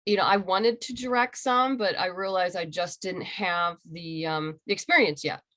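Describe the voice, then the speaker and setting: A woman with a fairly low voice talks as a guest in a casual interview over a video call. She sounds enthusiastic about her creative work and speaks in a conversational, unscripted way. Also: moderate pace, bright, forceful tone. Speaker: interviewed comic book writer and audio drama creator.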